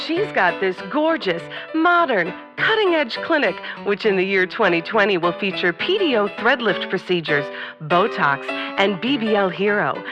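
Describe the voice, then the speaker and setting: A woman's voice: mid-range voice, expressive, normal speed, muffled recording, over music, natural voice. A woman playing a gushing spokeswoman in an old-time radio commercial. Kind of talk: 1930s radio ad read.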